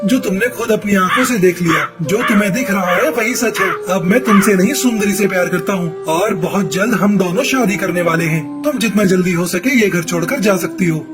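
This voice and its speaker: A man's voice: high voice, a little fast, hardly any pauses, lightly acted. A man voicing a callous husband sparrow in a cartoon, curt and dismissive, with music behind. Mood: cold.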